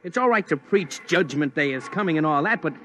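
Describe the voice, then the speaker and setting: A man's voice: a little high, expressive, fairly quick, a bit bright, a little hiss or hum. An actor playing a blunt, streetwise man in a 1950s radio drama, talking in a folksy, dismissive way. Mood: skeptical. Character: blunt streetwise middle-aged everyman, skeptical.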